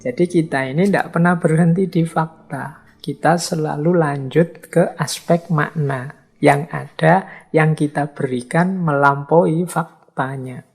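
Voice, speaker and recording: Middle-aged male lecturer, gravelly and slightly hoarse, chatty delivery that acts out everyday remarks. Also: a little high, fairly even intonation, a little slow, soft background music. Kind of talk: philosophy lecture in a mosque.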